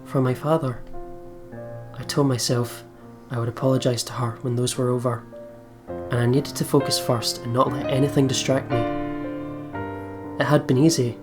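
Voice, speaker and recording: A woman's voice: a bass voice, steady tone, moderate pace, long pauses. A woman hosting a let's play, reading a visual novel aloud in a dramatic, reflective manner. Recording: game music behind.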